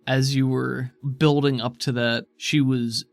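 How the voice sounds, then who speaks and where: Guy running a tabletop rpg, fry in his voice, describes scenes casually, super dry sound. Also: medium pitch, level tone, measured pace, no echo. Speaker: game master. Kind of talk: narrating a tabletop roleplaying game.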